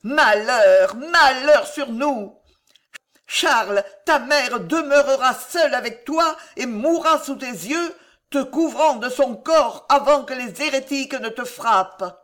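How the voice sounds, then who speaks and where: A narrator voicing a fierce, fanatical queen mother in a loud, commanding, declamatory way with a hoarse edge. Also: sits high, takes it slowly, pitched above the performer's own voice. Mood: thunderous despair. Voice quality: hoarse, gravelly.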